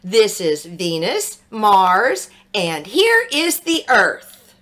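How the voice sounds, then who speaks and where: A woman voicing a strict first-grade teacher in a storybook, firm and deliberate. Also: medium pitch, sing-song, a bit bright, full character voice. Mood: instructive.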